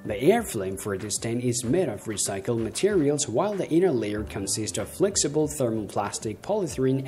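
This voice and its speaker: Young man, product review voice-over, animated pitch, faint music behind. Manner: reads a script with uneven stress and slips.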